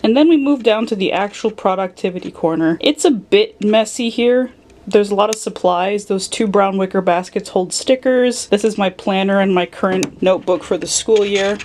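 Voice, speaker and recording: A woman's voice: medium pitch, moderate pace, a little mellow, soft background music. Playful young woman touring her room. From Philadelphia, USA. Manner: playful, affectionate, dry jokes about her toys. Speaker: collector giving a room tour. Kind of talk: casual home tour narration. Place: home sewing room and office, indoors.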